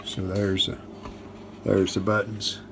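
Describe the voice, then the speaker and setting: Slow, low-voiced man demonstrating repairs. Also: level tone, creaky, background noise. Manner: unhurried, plainspoken, pausing mid-sentence. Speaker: host of a restoration how-to video. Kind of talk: casual hands-on demonstration narration.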